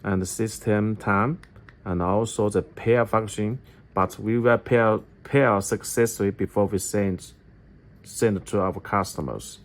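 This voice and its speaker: A man giving a step-by-step product tutorial in halting English, often repeating his phrases. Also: lowish voice, steady tone. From China. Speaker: product demonstrator for an electronics company.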